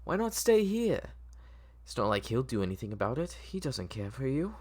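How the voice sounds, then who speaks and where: A man playing a manipulative mad doctor with a coaxing, drawn-out, falsely soothing manner in an audio story. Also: medium pitch, big pitch swings, a little mellow, breathy edge, pitched above the performer's own voice.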